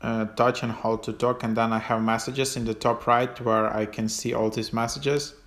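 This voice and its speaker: A man giving a casual, unscripted app walkthrough as a tutorial host, in a hesitant, halting way with trailing phrases. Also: medium pitch, little pitch movement, fairly bright tone.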